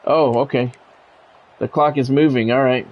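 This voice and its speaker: A man's voice: medium pitch, steady tone, normal speed, dull audio. A man doing play-by-play of his own football video game in short, blunt phrases, mixed with casual gamer talk.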